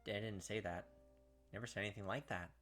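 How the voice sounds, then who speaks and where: A man's voice: lowish voice, steady tone, a little fast, a bit bright, soft background music. A man reading visual novel text aloud as a let's-play host, dropping casual, slangy asides along the way.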